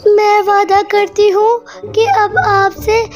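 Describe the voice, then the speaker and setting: A woman's voice: extremely high pitch, flat delivery, slow pace, faint music behind. A woman voicing a young female bird in a cartoon, childlike and plaintive, a self-willed little wife who pleads. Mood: tearful pleading.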